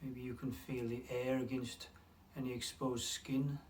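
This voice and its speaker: Male meditation guide, medium pitch, calm and unhurried with long pauses, recorded a little away from the mic over faint noise. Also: far from the mic.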